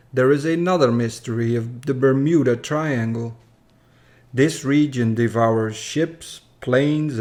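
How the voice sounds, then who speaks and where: A man reading a scripted poem as a storyteller, in a measured, formal recitation. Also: mid-range voice, a little mellow. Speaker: reader of a poem. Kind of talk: scripted poetry narration, storytelling.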